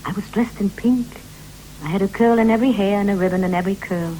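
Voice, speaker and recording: An actress playing a wistful wife who recalls her girlhood in measured, echoing short phrases, in the style of a poetic 1960s radio play. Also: medium pitch, warm tone, natural voice. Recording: vintage 1960s radio recording with hum and hiss.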